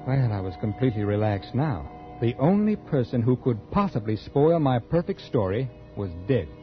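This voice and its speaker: An American actor playing a smooth, calculating murderer in a 1940s radio suspense drama, confiding and deliberate with studied nonchalance. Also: male voice, mid-range voice, big pitch swings, close to an everyday voice. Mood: smug, relaxed. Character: calculating male murderer, smooth and feigning casual ease. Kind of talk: 1940s radio acting, first-person suspense narration. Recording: dull 1940s radio recording with music.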